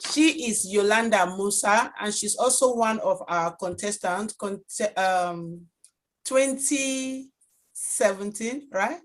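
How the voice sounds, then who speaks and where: A woman informally moderating an online workshop as host, speaking in a halting, distracted way on a video call. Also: medium pitch.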